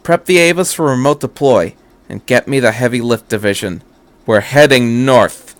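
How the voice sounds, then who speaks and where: A man's voice: mid-range voice, normal speed, no room sound, close to an everyday voice. A man puts on a commanding woman officer with a short temper, in an audiobook story. She is curt and demanding, used to giving orders. The recording is very dry. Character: hot-tempered commanding female military operations officer. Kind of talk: fan fiction audiobook character.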